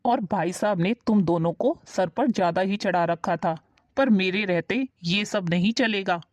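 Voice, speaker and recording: A woman voicing a stern, controlling middle-aged uncle in an animated family drama. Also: mid-range voice, unhurried, no room sound, lightly acted. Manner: stern, dismissive, laying down rules.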